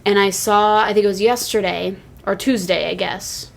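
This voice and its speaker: A woman with an average-pitched voice chats as a podcast host. She sounds earnest and conversational, often restarting and repeating phrases as she works through a point. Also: steady tone, moderate pace, vocal fry. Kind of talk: casual wrestling discussion.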